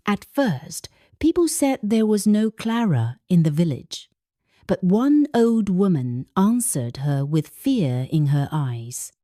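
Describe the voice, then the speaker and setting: Nigerian lady narrating a dramatic folktale, unhurried n measured, really dry close sound. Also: medium pitch, warm tone, dry recording. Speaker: storyteller.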